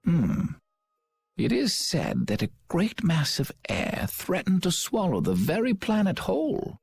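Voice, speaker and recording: Video game village elder, old: husky, gravelly, courteous, highly varied melody, music behind. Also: male voice, wildly swooping pitch, a little mellow, heavily acted. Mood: solemn. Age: older. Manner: unhurried, formal, courteous. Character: slow, mild, wise old village elder man.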